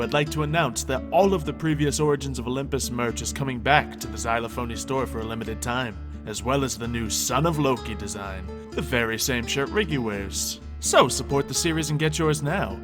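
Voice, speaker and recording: A man's voice: medium pitch, brisk, hardly any pauses, over music. A man hosting a series, reading a scripted promotional announcement to viewers. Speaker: series host.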